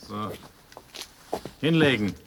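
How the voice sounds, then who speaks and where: A man's voice: on the low side, animated, slow pace, background noise. An actor playing a curt military man who gives commands in a radio drama. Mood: stern, impatient. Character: curt male military figure barking orders at prisoner.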